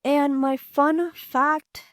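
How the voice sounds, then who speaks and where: Chatty young woman, high voice. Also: level tone, extremely slow, fairly bright tone, no echo, studio quality. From Peru. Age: young adult. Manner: chatty, many fillers like "um" and "like". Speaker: podcast co-host, student ambassador. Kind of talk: casual chat.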